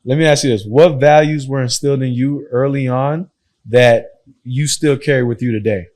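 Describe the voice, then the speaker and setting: A man's voice: medium pitch, steady tone, normal speed. Affirming male podcast host. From Haiti and Miami. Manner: warm, affirming, chuckles often, repeats phrases. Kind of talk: casual interview hosting.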